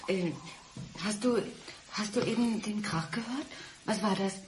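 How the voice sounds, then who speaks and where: A woman's voice: medium pitch, slight background noise, close to an everyday voice. An actress playing a puzzled, hesitant woman, a man's former partner, in naturalistic radio drama. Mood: uneasy, puzzled. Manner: hesitant, halting.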